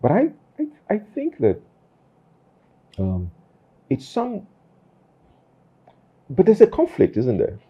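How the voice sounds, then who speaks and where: A man hosting an interview, speaking thoughtfully and haltingly, with many fillers and restarts. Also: sits high, huge pitch swings, breathy edge, muffled recording. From Nigeria. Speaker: podcast host. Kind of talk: reflective conversational interview.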